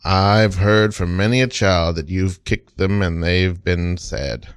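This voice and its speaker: A man's voice: low voice, slow pace, clear, resonant tone, no echo. A man playing a stern, accusing, protective father in an improvised comedy scene. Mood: indignant. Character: indignant, protective father of an urchin boy.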